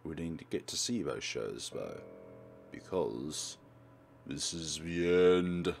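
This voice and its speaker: A man's voice: extremely low pitch, expressive, slow, gravelly, a little mellow, slight background noise. A man hosting a let's play, reading game text aloud and commentating with wry, theatrical asides. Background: game music.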